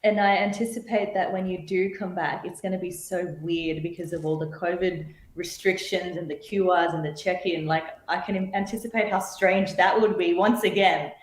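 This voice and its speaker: A woman's voice: on the low side, steady tone, moderate pace, hardly any pauses, reverberant, rough recording. A woman hosting a podcast interview over a video call, offering frequent short affirmations and thoughtful follow-up questions. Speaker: podcast host. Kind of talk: casual interview chat.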